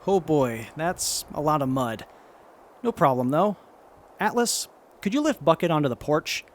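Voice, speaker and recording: A man's voice: a little high, big pitch swings, a little slow, slight background noise. A man playing an eager tinkerer in a wasteland audio drama. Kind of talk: post-apocalyptic audio drama acting.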